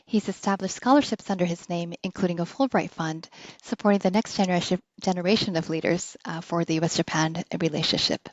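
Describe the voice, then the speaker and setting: A woman's voice: lowish voice, steady tone, brisk, dry recording. Courteous woman hosting, slightly dull recording. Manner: courteous, formal. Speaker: podcast interviewer, host. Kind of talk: polite, prepared interview hosting.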